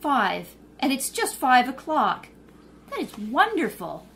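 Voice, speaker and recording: An actress playing a poised young woman sleuth in a 1930s-style radio drama, polite and quick-witted, then indignant. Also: sits high, sing-song, creaky, very noisy, full character voice. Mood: charming.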